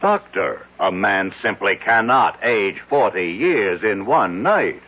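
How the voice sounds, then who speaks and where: An actor playing an incredulous businessman who questions insistently in a 1970s radio drama, with a creaky voice. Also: fairly low pitch, lively intonation, slow pace, heavily acted. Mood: disbelieving, insistent. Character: incredulous businessman demanding rational answers from a doctor. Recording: muffled noisy old radio broadcast.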